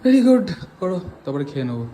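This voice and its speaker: A man's voice: high-pitched, big pitch swings, fairly quick, warm tone, roomy sound. A man with a West Bengal Bengali accent chats casually to a vlog audience, often repeating short phrases. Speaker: vlogger. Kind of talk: casual home vlog chat. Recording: clearly noisy handheld phone recording.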